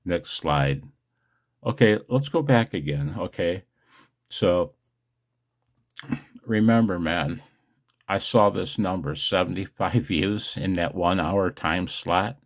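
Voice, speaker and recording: A man's voice: sits low, takes it slowly, pauses often. A man talking casually and self-deprecatingly to his viewers in a rambling monologue, as host of a video channel. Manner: self-deprecating, chuckles often.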